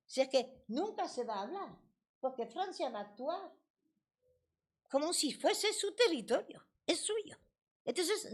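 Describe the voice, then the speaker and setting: A woman with a French accent giving a passionate political talk at a debate. She often restarts words and hesitates. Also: fairly high pitch. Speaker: debate speaker.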